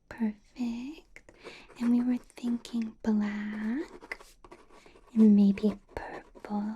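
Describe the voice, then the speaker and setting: A woman playing a doting, possessive vampire boss in a soft, breathy whispered ASMR roleplay, coaxing and fussing with a lightly bossy edge. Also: fairly high pitch, a little hiss or hum. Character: doting, possessive female vampire boss, older than she looks.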